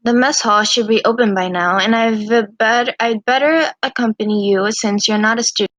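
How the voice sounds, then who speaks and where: A woman's voice: fairly high pitch, normal speed, a bit bright, no room sound, natural voice. A woman playing a caring, insistent, formally spoken girl in a visual novel. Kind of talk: visual novel character acting.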